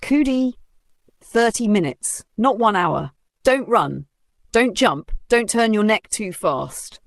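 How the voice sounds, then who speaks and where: A woman's voice: mid-range voice, lively intonation, takes it slowly, vocal fry, dry recording, voice pushed up. A woman voicing an anxious, strict female studio owner in a story, giving emphatic warnings.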